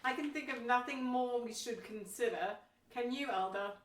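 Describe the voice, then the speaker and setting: A woman's voice: fairly high pitch, normal speed, close to an everyday voice. A woman playing a devout, resolute Pilgrim pastor in a historical audio play, with earnest, formal old-fashioned phrasing. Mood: satisfied. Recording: roomy echo, distant from mic.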